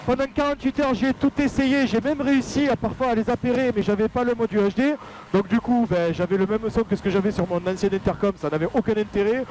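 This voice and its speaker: A man's voice: high-pitched, fast talker, a little mellow. A man giving a casual, jokey product review while flying, talking through a helmet intercom microphone. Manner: chatty, jokey, explanatory. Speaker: paramotor pilot vlogger and presenter. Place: outdoors, flying a paramotor. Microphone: helmet intercom headset microphone with noise reduction. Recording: faint motor noise behind headset mic. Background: motor noise, muffled.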